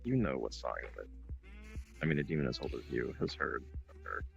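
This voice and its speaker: A man playing a hesitant, conciliatory adventurer who speaks for his party in an improvised online fantasy roleplay, halting and wry. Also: extremely low pitch, big pitch swings, creaky, lo-fi sound, full character voice. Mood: hesitant, candid. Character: hesitant, diplomatic male adventurer, the party's spokesman. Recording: background music under the voice.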